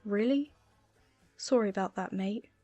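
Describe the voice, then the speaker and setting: A woman's voice: mid-range voice, a little slow, mellow, no room sound, muffled recording, lightly acted. A wry, self-conscious teenage boy played by a woman narrator in a storybook reading. He is understated and dry, given to teasing, with music playing beneath him. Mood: awkward, hesitant.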